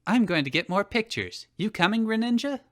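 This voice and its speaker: A man's voice: high-pitched, lively intonation, fairly quick, fairly bright tone, voice pushed up. A man voicing a pert, scornful young girl in a comedic fan fiction reading. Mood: perky. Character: two snippy young sisters, scornful granddaughters.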